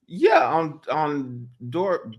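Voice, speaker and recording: A man's voice: on the high side, huge pitch swings, extremely slow, dull audio, crowd noise. A man co-hosting a podcast, chatting casually in an informal, slangy way. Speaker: podcast co-host. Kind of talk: casual chat.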